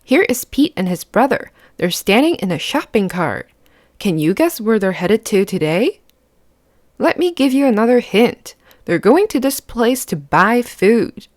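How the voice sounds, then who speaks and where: Expressive, unhurried woman reading to children. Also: mid-range voice, no room sound, studio quality. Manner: expressive, simple, child-directed explaining. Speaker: children's read-aloud host. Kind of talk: picture book read-aloud to children, with questions to the listener.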